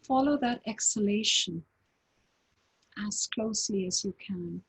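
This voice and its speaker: Woman, meditation teacher, very slow, breathy, soft guided breathing cues. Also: mid-range voice, low-quality recording. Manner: slow, calm, gentle instructions with long pauses.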